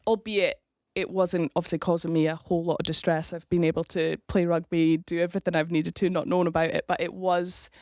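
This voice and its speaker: A woman's voice: fairly low pitch, little pitch movement, brisk, few pauses, darkish tone, dry recording, studio quality. A young woman from Scotland, a rugby player, chatting casually as a podcast guest, laughing often and restarting her sentences.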